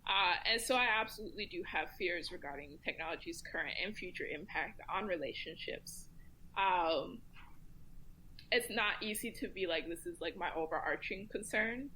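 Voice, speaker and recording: A woman speaking as a guest commentator in a casual round-table discussion, thoughtful and measured, with frequent pauses to think. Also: lowish voice, sharp tone, slight background noise, low-quality recording.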